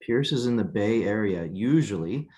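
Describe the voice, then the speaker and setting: Low-voiced male call host, easygoing and halting. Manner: relaxed, halting, repeats words. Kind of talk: hosting a weekly video call. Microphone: video call.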